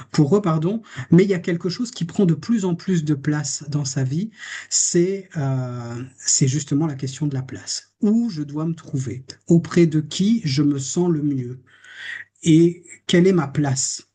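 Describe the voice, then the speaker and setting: A man's voice: fairly high pitch, warm tone, husky. A man, a therapist, giving a reflective public lecture on a case, posing rhetorical questions as he goes. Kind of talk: lecture presenting a case study.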